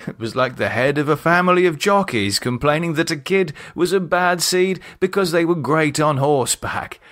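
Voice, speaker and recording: A male author narrates his horror story in a medium-pitched voice. The delivery is scripted, deliberate and dramatic, like an audiobook. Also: fairly bright tone.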